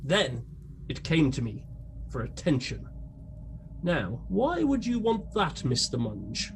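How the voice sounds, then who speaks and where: A performer playing a vain gentleman detective in a comedy radio play, pompous, dry and self-important. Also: medium pitch, huge pitch swings, slow pace, gravelly, background noise, full character voice. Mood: pompous deduction.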